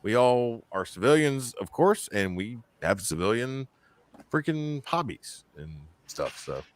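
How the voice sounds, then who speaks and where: A man's voice: mid-range voice, sing-song, unhurried. A man from Alabama hosting a casual live-stream podcast, rambling and joking with chat. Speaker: podcast host.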